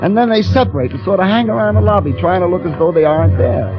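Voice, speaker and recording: An actor playing an eager, boastful young elevator operator who gets easily flustered, in 1940s radio style. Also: male voice, sits high, lively intonation, quick pace. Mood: wounded, scheming. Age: young adult. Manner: earnest bragging, stammering when flustered. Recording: muffled old radio broadcast with music.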